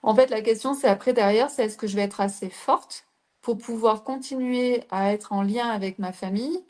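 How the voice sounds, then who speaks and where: A woman's voice: on the high side, moderate pace, darkish tone. A middle-aged woman from southern France, a coach giving a live talk and putting rhetorical questions to her listeners. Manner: explains with rhetorical questions to the audience.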